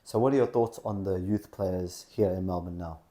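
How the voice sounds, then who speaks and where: Man, low voice, warm tone, interviewer, hesitant questions. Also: normal speed. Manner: hesitant, repeats his question openings.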